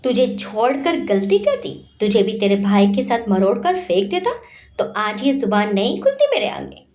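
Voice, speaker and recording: A woman's voice: medium pitch, sing-song, normal speed, darkish tone, close to an everyday voice. A woman voicing a greedy, corrupt male politician in an audio story, bitter and resentful. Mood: angry, threatening. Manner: snarling through gritted teeth, resentful.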